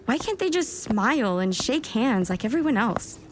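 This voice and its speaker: A woman's voice: on the high side, sing-song, moderate pace, slight background noise. A woman playing an eager, self-doubting warlock scholar in an audio drama, chatty and wry as she narrates her own thoughts. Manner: wry, chatty inner monologue with muttered self-talk. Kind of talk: first-person audio drama narration and dialogue.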